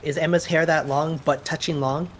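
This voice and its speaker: A woman's voice: sits low, steady tone, normal speed, a little hiss or hum. Teasing woman with a Toronto-Hamilton accent. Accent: Toronto-Hamilton Canadian. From Canada. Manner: teasing, laughing. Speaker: stream co-host. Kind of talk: casual gaming chat.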